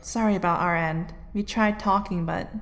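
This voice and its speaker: A woman's voice: fairly low pitch, steady tone, moderate pace, lightly acted. A woman playing a questioning, apologetic young team member in an audio drama. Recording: long hall reverb.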